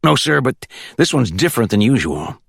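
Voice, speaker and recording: Audiobook young cop, male narrator acting: eager hot-shot bodyguard, deferential to his boss, pitched up, lively intonation. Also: moderate pace, vocal fry, slightly rough, dry recording, studio quality, heavily acted. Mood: deferential, urgent.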